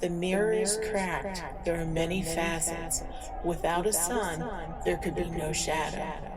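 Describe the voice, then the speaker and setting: A performer playing a cryptic, solemn demon witch in a film, speaking in a flat, portentous, measured way. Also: medium pitch, over a noisy crowd, lightly acted.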